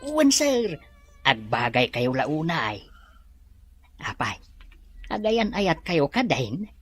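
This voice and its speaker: A woman's voice: sits low, very animated, slow pace, husky, soft background music, heavily acted. Inquisitive female helper with swooping intonation. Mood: surprised. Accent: Ilocano. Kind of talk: radio drama acting.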